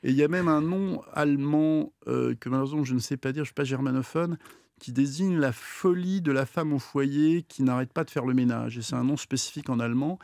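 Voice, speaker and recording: A man speaking as an expert guest on a radio talk show, halting, with many fillers and restarts. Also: mid-range voice, creaky, darkish tone, no echo, studio quality.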